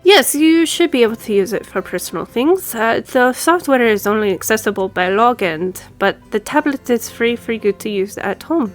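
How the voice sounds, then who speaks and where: A woman's voice: on the high side, animated, normal speed, hardly any pauses, soft background music, close to an everyday voice. A woman playing a friendly, businesslike law firm boss in an audio roleplay, speaking conversationally to the listener. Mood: friendly, lightly teasing. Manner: businesslike, conversational, lightly teasing. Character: brisk, friendly female law firm boss giving instructions.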